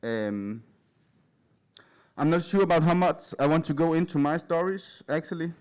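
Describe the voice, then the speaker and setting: A man from Denmark with a Danish accent, giving an informal, anecdotal talk in a nervous, hesitant, halting and giggly way. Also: a little high, darkish tone. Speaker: speaker at a live event. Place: in front of a large live audience.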